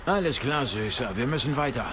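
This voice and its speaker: Ein Schauspieler als mahnender, neckender Soldatenkamerad in einer deutschen Videospiel-Synchronisation. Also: male voice, medium pitch, animated, a little fast, background noise, lightly acted. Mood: teasing. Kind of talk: German video game dub acting.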